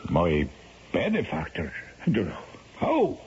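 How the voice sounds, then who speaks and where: A man's voice: low-pitched, wildly swooping pitch, slow, a little mellow, a little hiss or hum, exaggerated voice. An actor playing a desperate, blustering father and ruined squire in a 1970s radio drama. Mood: troubled. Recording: muffled old radio broadcast with music.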